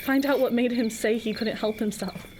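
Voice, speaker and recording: A woman's voice: fairly high pitch, steady tone, moderate pace, noisy background, lightly acted. A young woman acting in a scripted radio drama as a state trooper's frightened, devoted sweetheart, earnest and pleading. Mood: troubled, insistent. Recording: music bed under the voice.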